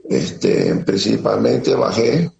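A middle-aged man with a Venezuelan accent giving an earnest, halting account as an interviewee, often repeating words. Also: fairly low pitch, creaky, darkish tone. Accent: Venezuelan Spanish. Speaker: interviewee, security operator. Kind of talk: emotional testimony on a remote interview. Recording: muffled lo-fi line with faint noise.

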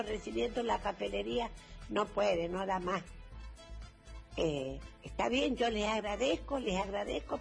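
Gravelly woman caller. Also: mid-range voice. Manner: indignant complaining. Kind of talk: radio call-in testimony.

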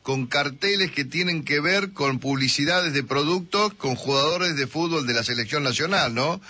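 A man with an Argentine accent hosting a radio interview, chatty and joking, quick to agree with his guest. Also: on the high side, pushed and bright, slightly rough, no room sound. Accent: Rioplatense Spanish. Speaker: radio host. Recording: radio broadcast with music behind.

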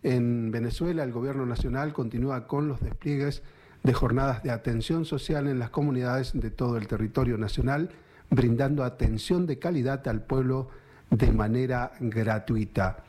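A man's voice: mid-range voice, moderate pace, warm tone. A man reading the news as the anchor, introducing a report in a formal delivery.

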